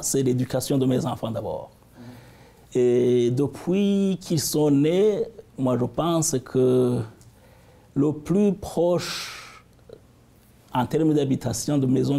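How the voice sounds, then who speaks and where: A man from the region, answering interview questions thoughtfully, with halting restarts. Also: mid-range voice, creaky. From African Great Lakes region. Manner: thoughtful, halting, with frequent restarts and warm asides.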